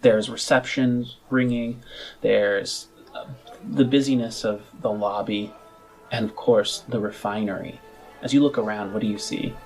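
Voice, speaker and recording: A man narrating a tabletop roleplaying game as game master, improvising in halting, measured phrases. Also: on the low side, fairly even intonation, darkish tone, faint music behind, low-quality recording.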